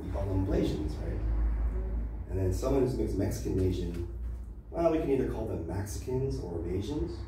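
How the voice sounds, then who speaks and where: A man's voice: deep voice, animated, moderate pace, mellow. A middle-aged man with an American accent performing stand-up comedy casually on a small club stage, peppering it with "you know.". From Los Angeles, USA. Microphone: handheld mic, heard through distant camera. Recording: echoey, noisy club room from far off.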